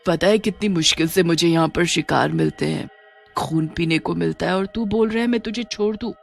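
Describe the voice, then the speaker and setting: A woman's voice: on the low side, fairly quick, gravelly, soft background music. A woman voicing a bloodthirsty young witch in a horror story, menacing and taunting as she schemes. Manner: menacing, taunting, muttering to herself. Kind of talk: Hindi horror story character acting.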